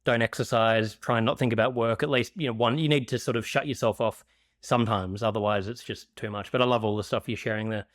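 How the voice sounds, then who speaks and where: A man hosting a podcast, relaxed and agreeable, with many fillers. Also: lowish voice, quick pace, dry recording, clean studio sound. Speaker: podcast host. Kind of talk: casual interview chat.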